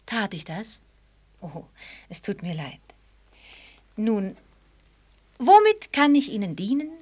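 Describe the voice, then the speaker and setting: An actress in a German radio play voicing a proud, ironic young heiress with a formal, teasing manner. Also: female voice, medium pitch, huge pitch swings, slow, a little mellow, slightly rough, lo-fi sound, full character voice. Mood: cool. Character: proud, mocking young millionaire's daughter who rides fast.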